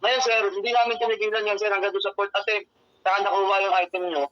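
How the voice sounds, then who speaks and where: A Filipino man with a distinctly high voice is speaking over a dull, dry phone connection. He works in courier customer service and explains himself in a deferential, repetitive way, with frequent polite forms of address. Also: level tone, fast talker, fairly bright tone, no echo. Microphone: telephone. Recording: muffled lo-fi phone call, dry.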